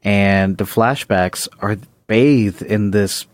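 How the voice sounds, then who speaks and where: Lowish voiced guy cohosting a podcast, casual analysis chat, super dry sound. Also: slow pace, no echo. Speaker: podcast co-host.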